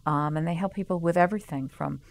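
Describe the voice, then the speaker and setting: A woman's voice: on the low side, quick pace, vocal fry. A woman speaking earnestly as a staff representative, explaining services in a promotional interview. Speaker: staff representative of a community health organization. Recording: music playing under the voice.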